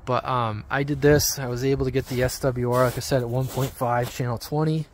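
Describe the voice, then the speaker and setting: A man giving a casual, off-the-cuff how-to explanation outdoors in an informal, rambling, halting way. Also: mid-range voice, fairly even intonation. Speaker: hobby radio vlogger. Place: outdoors among trees. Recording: outdoor handheld video with background noise.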